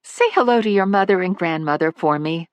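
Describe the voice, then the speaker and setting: A woman voicing a fussy older woman who dotes on her cats, speaking shrilly and high. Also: animated, fairly quick, smooth, no room sound, lightly acted. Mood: gracious. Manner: shrill and high, frantic when upset. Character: fussy older woman, doting cat lady.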